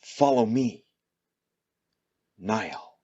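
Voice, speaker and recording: A man playing an awestruck hero in a radio play, with halting, emphatic delivery. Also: lowish voice, expressive, warm tone, breathy edge, dull audio, exaggerated voice. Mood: solemn, earnest. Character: Neil, awestruck hero coming to believe he is the One.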